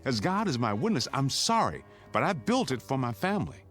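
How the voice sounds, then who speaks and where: A grave, resolute suburban father in a radio drama. His medium-pitched voice swings widely as he firmly guards his family, with faint music behind him. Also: very animated, brisk, a bit bright. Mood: stern, defensive.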